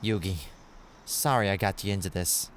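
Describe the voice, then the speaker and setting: A man playing a hot-headed, brash and excitable teenage boy brawler in an anime-style audio drama. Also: low-pitched, moderate pace, slight background noise, close to an everyday voice. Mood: low, earnest.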